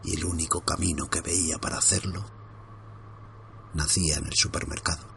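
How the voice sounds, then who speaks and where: Low-voiced guy narrating a creepy story, tense and hushed, bit of faint noise behind. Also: steady tone, normal speed, vocal fry. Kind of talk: first-person horror story reading.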